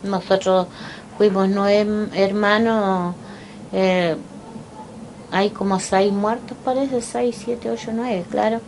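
An older Chilean woman recalling her life slowly and haltingly, pausing often to remember. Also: mid-range voice, steady tone, a little mellow, slight background noise, poor audio. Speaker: interviewee. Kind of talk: personal recollection. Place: indoors, small room by a window.

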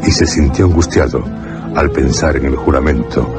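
A man's voice: a bass voice, normal speed, over loud music. A man narrating a suspense radio drama solemnly, phrase by phrase.

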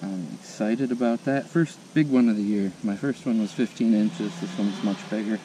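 A man's voice: fairly low pitch, moderate pace. A man vlogging while wading in a river, chatting casually and cheering when the fish bite, recorded on a head-mounted camera. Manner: excited, chatty, bursts of cheering. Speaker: angler vlogger. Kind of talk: casual narration while fishing. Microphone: head-mounted action camera. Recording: noisy outdoor action camera audio.

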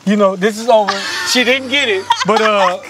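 A man's voice: sits high, moderate pace, noisy background. A man in his thirties hosting a casual interview on a hiking trail, joking and teasing in slangy talk. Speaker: host.

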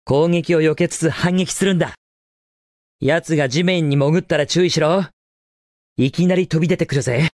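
A man's voice: a little high, normal speed, a little mellow, dry recording, studio quality, close to an everyday voice. An actor playing a cocky, confident young male hedgehog hero in a Japanese video game, with a cool, casual delivery. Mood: confident, alert.

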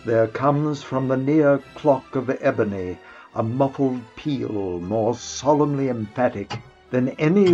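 A man narrates a gothic horror tale at a very slow pace, in a theatrical, deliberate way with dramatic pauses. His pitch is mid-range. The recording is a little dull, and music plays underneath. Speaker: narrator, story reader.

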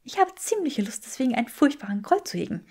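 Bookish young woman, acted by a female narrator, raised pitch, earnest, prim, sharp-witted, audiobook character. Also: big pitch swings, fast talker, slightly rough, heavily acted. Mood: prim teasing.

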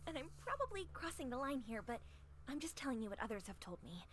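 A woman's voice: high voice, big pitch swings, quick pace, very noisy. A woman playing a friendly, chatty owner of a humble eatery in a video game, with an easygoing, confiding, neighborly manner.

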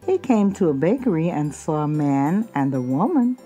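A woman's voice: low voice, sing-song, normal speed, mellow, soft background music. A woman reading a children's picture book aloud expressively, drawing out key words. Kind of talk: storybook read-aloud.

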